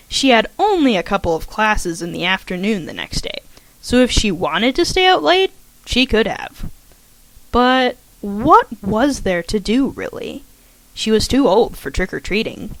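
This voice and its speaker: A woman reading her own fanfiction aloud as a podfic, in a lively storytelling way. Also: medium pitch, no room sound, low-quality recording.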